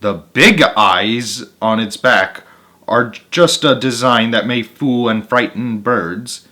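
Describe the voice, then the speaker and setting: A man narrating as a storyteller, reading aloud for children in an animated style. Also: medium pitch. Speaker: storyteller, channel host.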